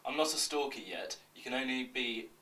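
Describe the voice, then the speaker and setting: Off-mic man, faint noise, medium pitch, scripted reading, deadpan, awkward. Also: fairly quick, recorded from a distance, low-quality recording. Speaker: reader of fans' confessions. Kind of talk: reading a scripted monologue of fan confessions.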